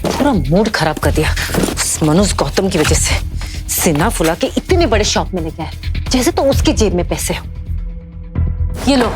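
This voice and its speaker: Woman acting a haughty, animated mother-in-law. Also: lowish voice, moderate pace, long pauses, music in the background, close to an everyday voice. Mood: irritated. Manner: irritated, complaining. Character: haughty, scornful middle-aged mother-in-law. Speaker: voice actor. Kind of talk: audio drama acting.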